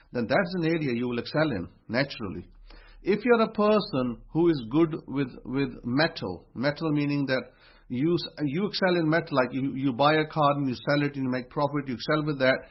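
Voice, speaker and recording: A man's voice: fairly high pitch, breathy edge. A man giving a motivational religious talk, emphatic and repeating phrases as he builds his point. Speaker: religious teacher. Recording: dull lo-fi recording.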